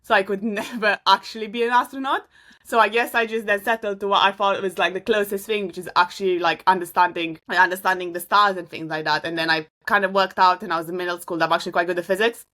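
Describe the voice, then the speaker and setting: Young woman scientist from Romania, chatty and rambling, bright voice. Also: mid-range voice, steady tone, fast. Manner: rambling, many fillers and restarts. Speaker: astrophysicist guest. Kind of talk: casual interview answers.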